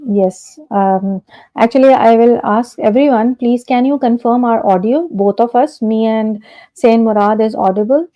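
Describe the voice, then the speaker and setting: A woman's voice: fairly high pitch, measured pace, mellow, rough recording. A woman hosting a live stream, speaking politely and hesitantly with many fillers over a video call. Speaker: host.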